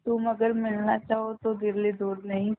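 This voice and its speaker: Flat-toned woman on a thin phone line. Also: mid-range voice, moderate pace, no room sound. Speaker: ex-girlfriend on a call. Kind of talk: intimate phone conversation.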